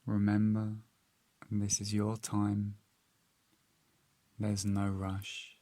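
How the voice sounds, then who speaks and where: Slow, low-pitched man guiding meditation. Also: dry recording. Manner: calm, gentle, measured delivery with long pauses. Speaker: meditation guide. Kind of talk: guided meditation narration.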